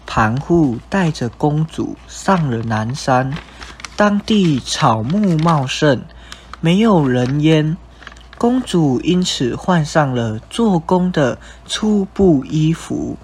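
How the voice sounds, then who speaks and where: A man's voice: fairly high pitch, animated, slow, slight background noise. A young man with a Taiwanese Mandarin accent reading scripted narration for a radio drama. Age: young adult. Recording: music bed behind narration.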